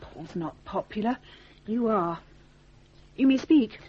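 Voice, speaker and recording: An actress playing a brisk, forthright young woman who takes charge in a radio drama, firm and commanding. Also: mid-range voice, expressive. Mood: scolding, firm. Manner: commanding, firm, crisp retorts. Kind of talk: 1980s radio drama acting. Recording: dull, noisy old radio recording.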